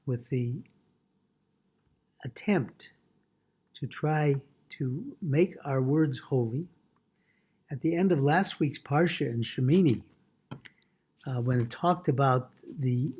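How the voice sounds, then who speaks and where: A man teaching a Torah lesson as a rabbi, in a halting way, repeating phrases and explaining Hebrew terms in short pieces. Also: a little high, warm tone, slightly rough.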